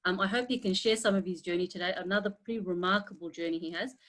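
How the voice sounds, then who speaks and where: A woman's voice: on the low side, fast, fairly bright tone. A woman hosting an online webinar over a video call, introducing guests with many hesitant fillers. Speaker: webinar moderator.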